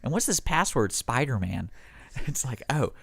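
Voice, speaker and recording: A man's voice: mid-range voice, big pitch swings, a little slow, gravelly, fairly bright tone. An American man co-hosting a radio show in casual, teasing, joking conversation. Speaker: radio co-host. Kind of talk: casual chat.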